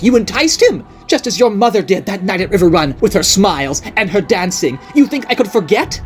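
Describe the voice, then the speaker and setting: A woman voicing an unhinged, jealous middle-aged noblewoman who rants and accuses in a shrill voice. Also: natural voice. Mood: obsessive. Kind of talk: audiobook character voice.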